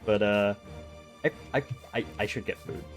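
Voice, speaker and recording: An American man with a lowish voice chats while he plays a game. He thinks out loud in long tangents. Faint game music plays under his talk. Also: unhurried. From United States. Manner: musing, thinks aloud in long digressions. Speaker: streamer. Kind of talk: casual chat while gaming.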